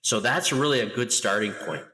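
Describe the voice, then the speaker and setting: A middle-aged man talking loudly and with lively energy as a guest in an interview. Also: mid-range voice, normal speed, long reverb tail, low-quality recording. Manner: loud, animated storyteller. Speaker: guest, international school educator. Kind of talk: conversational interview.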